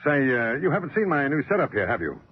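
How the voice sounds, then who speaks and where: A man's voice: on the high side, very animated, quick pace. A man playing a brash, boastful newspaper owner in a 1940s radio drama. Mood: boastful, insistent. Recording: muffled old 1940s radio recording.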